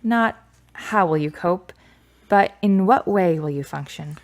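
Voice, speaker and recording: A woman's voice: on the low side, unhurried. A woman playing a frank, self-assured character in a radio drama, speaking in an articulate, wry, wordy way. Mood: reflective, measured.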